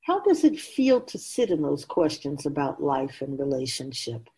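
A woman playing an otherworldly feminine spirit in a radio drama, speaking in a deliberate, emphatic, oratorical way, grave and candid. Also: deep voice, sing-song, mellow. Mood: grave, assured. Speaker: spirit guide being interviewed. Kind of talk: radio drama monologue, reflective.

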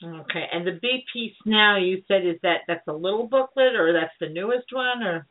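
A woman's voice: medium pitch, normal speed. Chatty woman host on narrow phone-like audio. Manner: informal, chatty. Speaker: radio show host. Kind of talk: casual interview chat. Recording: narrow phone-like internet radio sound.

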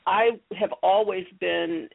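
A woman's voice: medium pitch, steady tone, takes it slowly, gravelly. A middle-aged woman talking earnestly as an interview guest, telling personal stories in an encouraging, emphatic way over a phone line. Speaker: interview guest, audio theater creator. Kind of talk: conversational interview, personal storytelling. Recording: narrow, tinny phone-line sound.

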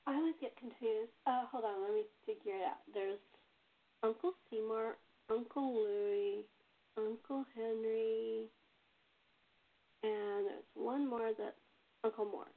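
A woman's voice: fairly high pitch, fairly even intonation, measured pace, long pauses. A woman chatting casually as host and playwright, telling anecdotes and given to chuckling. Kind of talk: casual panel chat. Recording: narrow tinny phone-like call audio.

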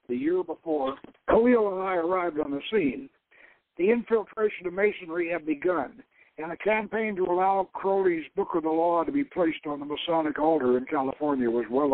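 A man reading a novel aloud as a woman, a former priestess of an occult lodge, confessing her story in a measured, deliberate way. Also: a little high, natural voice. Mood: measured, recounting. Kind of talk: dramatic novel reading, first-person narration. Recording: narrow-band radio or phone-like sound.

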